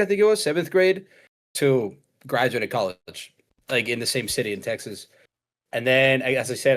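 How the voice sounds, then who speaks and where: A young man from Mexico, a podcast guest talking casually on a video call, rambling with many fillers and restarts. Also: medium pitch. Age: young adult. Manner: rambling, laid-back, lots of fillers and restarts. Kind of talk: casual chat.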